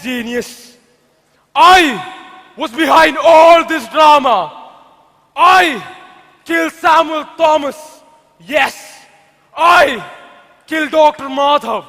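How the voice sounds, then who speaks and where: A man's voice: extremely high pitch, expressive, drawn-out words, pauses often, bright, forceful tone, heavily acted. A man with an Indian accent playing an arrogant criminal mastermind, taunting and pompous in a theatrical stage style. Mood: gloating. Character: gloating male criminal mastermind, arrogant puppeteer villain. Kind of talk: amateur stage drama dialogue. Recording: echoey hall, long reverb.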